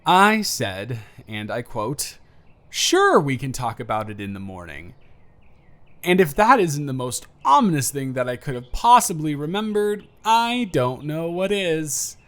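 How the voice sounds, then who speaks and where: Man acting a nervous, expressive young confessor. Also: on the high side. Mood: anxious, joking. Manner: rambling, emotional, halting and emphatic. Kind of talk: intimate scripted audio roleplay.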